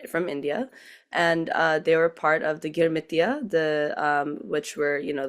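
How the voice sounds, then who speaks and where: A woman from an Indo-Guyanese family in the United States, speaking earnestly and deliberately as a priestess giving a personal account on a panel. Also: sits low.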